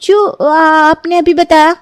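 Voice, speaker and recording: A woman doing a high, bubbly young bride. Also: steady tone, takes it slowly, no room sound, studio quality. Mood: flustered. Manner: bubbly, childlike, whiny. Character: playful sleepy young bride, cheeky and lazy.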